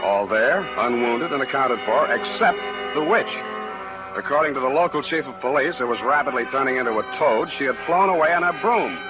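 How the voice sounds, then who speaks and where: A man playing a wry, hard-boiled private detective, narrating his case deadpan in 1940s radio style. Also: mid-range voice, fairly quick, close to an everyday voice. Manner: deadpan, wisecracking. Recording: tinny old radio recording with loud music.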